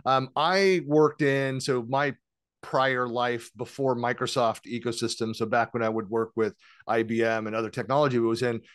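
A man's voice: mid-range voice, steady tone, normal speed, a bit bright, smooth, no echo, studio quality. A man hosting an interview podcast, talking casually and rambling a little. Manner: rambling, many fillers and restarts. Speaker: podcast host.

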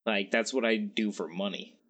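A man's voice: on the low side, fairly even intonation, normal speed, crowd noise. Joking guy in his twenties. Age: 20s. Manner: joking, rambling, laughs often. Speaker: podcast co-host. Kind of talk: casual chat.

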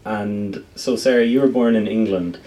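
Male podcast host, low voice, halting questions, a bit far from the mic. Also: mellow. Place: bookshop event.

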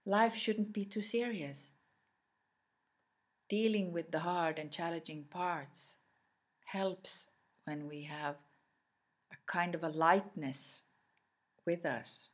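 Slow-paced Swedish woman giving a reflective talk. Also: lowish voice, husky. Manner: reflective, with pauses mid-phrase. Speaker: inspirational speaker. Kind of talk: unscripted motivational talk to the listener.

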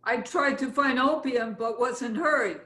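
A woman's voice: sits high, normal speed, a bit bright. A woman playing a brazen foreign artists' model with a Russian accent, speaking blunt, clipped broken English in a play reading. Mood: matter-of-fact. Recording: roomy, echoey sound.

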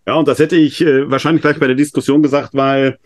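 A man's voice: medium pitch, flat delivery, fast talker. A man with a slight cold hosting a live webinar discussion, chatty and full of hesitations. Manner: chatty, many filler hesitations. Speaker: host, New Testament scholar.

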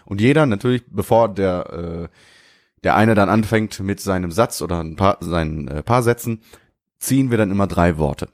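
A man's voice: low-pitched, moderate pace, dry recording, studio quality. A man co-hosting a podcast, chatting and improvising a story, laughing easily. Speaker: podcast co-host. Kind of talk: casual chat and improvised storytelling.

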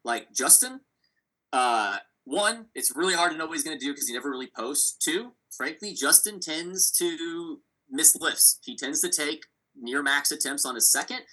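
A man hosting a casual sports podcast, talking through competitors with a steady, analytical manner and frequent fillers. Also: a little high, moderate pace, poor audio. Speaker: podcast host.

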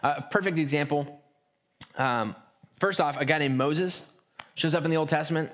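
A man preaching a sermon in a relaxed, conversational way, often restarting phrases and slipping into quick acted asides. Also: a little high. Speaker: pastor.

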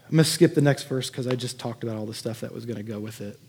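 A man's voice: mid-range voice, fast, darkish tone, slight background noise. A man preaching as a pastor in a conversational sermon, earnest and given to emphatic repetition. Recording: echoey hall with long reverb.

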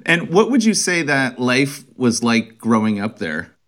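A man from Connecticut hosting an interview show, reading a polished, upbeat introduction and then asking relaxed questions. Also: mid-range voice, measured pace. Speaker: podcast host. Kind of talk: interview host with scripted intro.

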